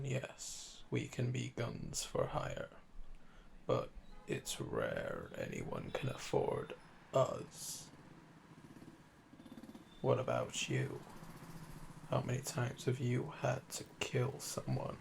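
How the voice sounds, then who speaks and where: A man voicing a calm, smug, flirtatious assassin in a slow, intimate ASMR roleplay, deliberate and condescending, often dropping to a murmur. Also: medium pitch, gravelly, slight background noise, heavily acted. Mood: quietly menacing.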